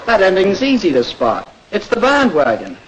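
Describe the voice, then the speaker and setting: An actor playing an earnest, attentive pupil who asks questions and reasons aloud in a 1940s educational film. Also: male voice, high voice, wildly swooping pitch, normal speed, a bit bright, slightly rough, lo-fi sound, heavily acted. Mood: earnest, curious. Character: earnest, inquisitive male campaign pupil. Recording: noisy old 1940s film soundtrack.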